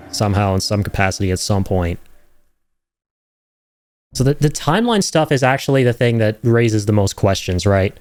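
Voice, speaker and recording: A man's voice: mid-range voice, a little mellow, a little hiss or hum. A man giving enthusiastic, speculative commentary on game trailers in a casual streaming style. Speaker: reaction video host, streamer.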